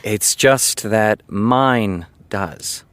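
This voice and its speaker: A man playing a measured, self-assured television writer in a naturalistic audio drama. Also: on the low side, a bit bright, clear, resonant tone, no echo. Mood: measured, teasing.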